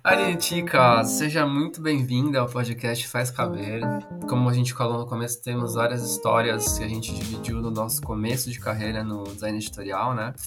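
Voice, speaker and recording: Man, thirties, Brazilian accent, podcast co-host, playful, joking, average pitch, faint music behind. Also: steady tone, moderate pace. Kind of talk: casual chat.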